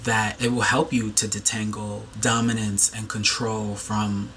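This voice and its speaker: A man's voice: lowish voice, flat delivery, a little slow, fairly bright tone, a little hiss or hum. A man in his thirties talking earnestly to camera in a rambling way, with lots of "like" and restarts. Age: 30s. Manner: earnest, rambling, heavy use of "like". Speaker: video host. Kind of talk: reflective monologue to camera.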